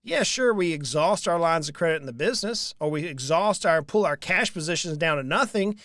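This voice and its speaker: Guy in his 30s hosting a money show, kinda high voice, close studio mic, real dry. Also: animated, a little fast, a bit bright, dry recording. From Southern United States. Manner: earnest, emphatic, repeats phrases for stress. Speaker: financial advisor hosting a show. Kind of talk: solo talk to camera. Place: small room with dark curtains. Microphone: large studio mic in front, headphones.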